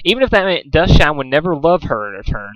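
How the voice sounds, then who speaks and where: A man's voice: a little high, big pitch swings, fast talker, a bit bright, no echo, highs cut off. A man narrating a story aloud as an amateur reader, sometimes stumbling over words and rereading. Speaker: amateur fan fiction reader.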